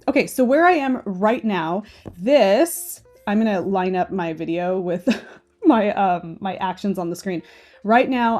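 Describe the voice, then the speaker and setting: Woman knitting host, stuffy-nosed and nasal, chatty, opinionated, mellow tone. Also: mid-range voice. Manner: chatty and opinionated, often asking "okay?". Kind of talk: casual screen-share commentary.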